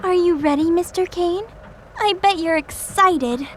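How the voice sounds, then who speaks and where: Woman as gentle guardian angel for audio drama: high, unhurried, sweet and coy, full of affection. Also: slight background noise. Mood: teasing.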